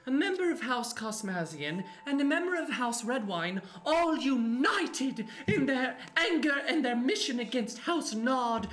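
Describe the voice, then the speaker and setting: A woman's voice: high voice, sing-song, unhurried, hardly any pauses, fairly bright tone, a little hiss or hum, exaggerated voice. A woman playing an indignant, scornful young nobleman in an improvised comedy radio play, with mock-grand, declamatory delivery. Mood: rallying, resolute. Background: faint music.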